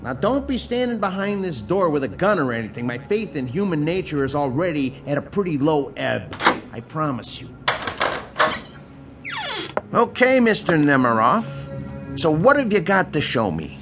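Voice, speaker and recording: Grouchy, animated landlord, high-pitched. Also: male voice, normal speed, over music. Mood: grouchy, wary. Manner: wisecracking, grumbling, exasperated. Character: grouchy, sarcastic, world-weary male landlord. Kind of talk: dramatized audio drama dialogue.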